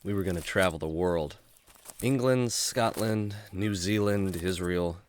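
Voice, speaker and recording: A man's voice: sits low, measured pace. A man playing a friendly, earnest young adventurer in a children's radio drama, speaking like a clean-cut storyteller. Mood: nostalgic.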